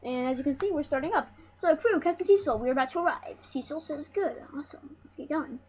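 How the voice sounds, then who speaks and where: A high-voiced speaker giving casual let's play commentary as host of a retro gaming series, rambling and often restarting phrases. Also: quick pace, darkish tone. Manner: rambling, repeats and corrects phrases. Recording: muffled, noisy home recording.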